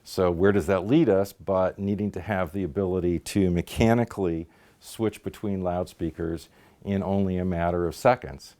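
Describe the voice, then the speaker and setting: Deep-voiced man giving a promotional presentation. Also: steady tone, normal speed, warm tone. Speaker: company spokesman. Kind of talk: polished promotional product explanation.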